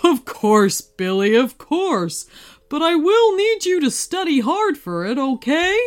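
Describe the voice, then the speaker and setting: Woman acting grand old storybook wizard, excitable, friendly, drawn-out delivery, swooping pitch, slow pace. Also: full character voice. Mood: warm, instructive. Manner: theatrical, slow, drawn-out exclamations. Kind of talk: children's storybook character voice.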